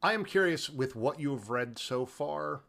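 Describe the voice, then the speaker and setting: A man co-hosting a podcast, chatting casually about film in an animated, halting way full of informal asides. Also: on the high side, gravelly. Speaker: podcast co-host.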